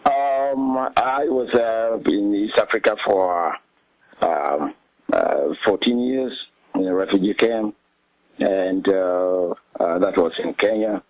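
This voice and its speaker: A middle-aged man with a South Sudanese accent, recounting his history as an interview guest in a hesitant, halting way full of pauses. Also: fairly low pitch, darkish tone, no room sound, dull audio.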